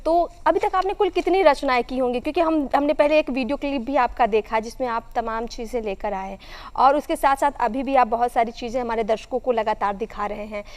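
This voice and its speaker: A young woman with a high-pitched voice works as a show's co-host. She puts questions conversationally, and the sound has a long, hall-like reverb. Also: fairly quick, hardly any pauses. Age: young adult. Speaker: TV show co-host. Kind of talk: conversational interviewing.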